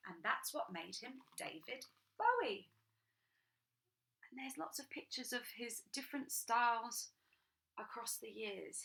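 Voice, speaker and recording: Bubbly lady reading a kids' book, voice bounces all over, really bright. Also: a little high. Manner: chatty, enthusiastic asides to young listeners. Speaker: children's storytime reader. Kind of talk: reading a picture book aloud to children.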